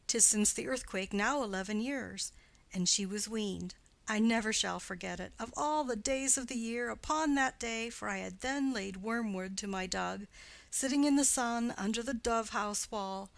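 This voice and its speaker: A woman voicing a garrulous, fond old nurse in a dramatic play reading, rambling and jumping up loud on the lines she quotes. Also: medium pitch, gravelly, dry recording. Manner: chattering, swooping up loud on quoted exclamations.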